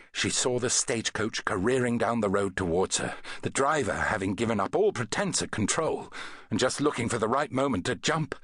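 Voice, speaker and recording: A man reading an adventure novel as an audiobook narrator, in an expressive, dramatic storytelling manner. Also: medium pitch, breathy edge, no room sound, clean studio sound.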